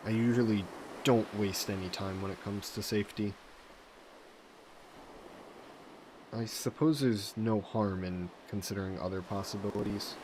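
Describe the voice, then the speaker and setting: A man playing a possessive sea monster in a roleplay audio drama, speaking in measured, formal phrases that are coolly threatening and flirty. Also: lowish voice, slight background noise, lightly acted. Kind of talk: scripted roleplay audio drama, intimate monologue.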